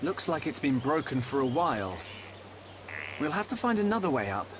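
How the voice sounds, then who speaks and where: An actor playing a thoughtful, earnest young hero in a video game, polite and a little hesitant. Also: male voice, fairly high pitch, very animated, moderate pace, noisy background. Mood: practical. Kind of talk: dramatic video game cutscene acting. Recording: clear background music.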